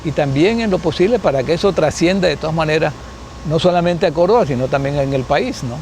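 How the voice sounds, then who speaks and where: A man's voice: on the high side, animated, fairly quick, a little mellow, slight background noise. A man in his seventies from Colombia, a guest sociologist in an interview, answering unhurriedly, thinking aloud with restarts and frequent tag questions. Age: 70s.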